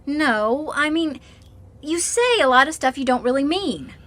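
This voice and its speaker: Radio drama coed: high-pitched, animated, impulsive, petulant young woman, slightly noisy backdrop. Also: measured pace. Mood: insistent. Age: young adult. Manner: petulant, breezy, defensive. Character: impulsive, naive, indignant young female college student. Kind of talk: radio mystery drama acting.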